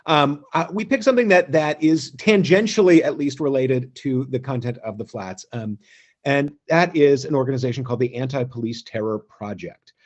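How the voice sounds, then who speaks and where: A man co-hosting a theatre company's online show, making casual announcements in a halting, conversational way. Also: mid-range voice.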